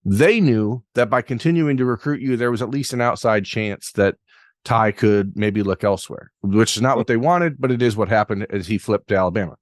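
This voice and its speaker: A man's voice: fairly low pitch, fairly quick, no room sound, studio quality. Talkative male podcast host. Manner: talkative, self-deprecating, long rambling questions. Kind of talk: interview podcast.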